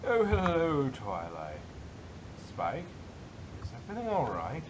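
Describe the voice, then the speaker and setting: A man's voice: huge pitch swings, measured pace. A man voicing a refined, dramatic female unicorn seamstress in a fan fiction reading, genteel and theatrical. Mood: gentle concern. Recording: noisy home recording with rumble.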